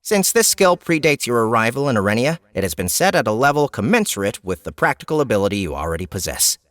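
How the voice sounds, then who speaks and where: A man's voice: medium pitch, very animated, fairly bright tone, dry recording, voice pushed up. A man voicing a mocking, disembodied game announcer, a gleeful prankster who draws out notifications and teases, in a fantasy audiobook. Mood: mock solemn. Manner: teasing, theatrically drawn-out announcements.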